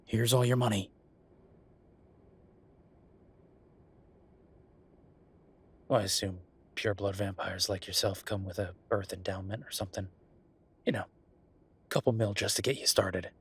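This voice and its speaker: A man plays a werewolf office bureaucrat and affectionate boyfriend in an intimate roleplay audio drama. His voice sits on the low side with a strong rasp. He talks casually and wryly, teasing as he goes. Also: brisk. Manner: casual, teasing, conversational with soft murmurs.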